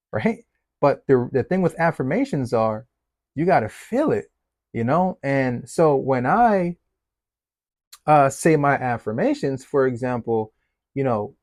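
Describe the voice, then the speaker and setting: A man with a Jamaican accent, giving a casual motivational talk as a fatherhood coach in a relaxed, conversational way. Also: mid-range voice, dry recording, clean studio sound. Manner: relaxed, conversational, many fillers, laughs easily.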